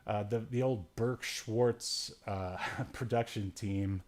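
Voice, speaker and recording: Man, lowish pitch, casual podcast host, rambling delivery, dry humor, solo livestream chat. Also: steady tone, slow, a little mellow. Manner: rambling, dryly joking.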